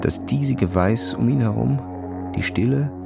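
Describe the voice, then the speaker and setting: A man's voice: mid-range voice, unhurried, vocal fry, over music. A man narrating a scripted children's audio story as its storyteller.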